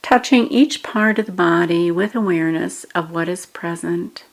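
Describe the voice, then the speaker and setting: A woman guiding a meditation, giving measured instructions in short phrases with pauses between them. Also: lowish voice, creaky, poor audio. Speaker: meditation guide. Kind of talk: guided meditation narration.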